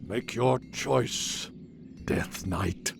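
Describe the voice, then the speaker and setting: An actor playing a dignified old archwizard in a video game, stern, formal and dryly disdainful. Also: male voice, mid-range voice, vocal fry, noisy background, full character voice. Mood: stern warning. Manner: formal, deliberate. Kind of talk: video game cutscene voice acting.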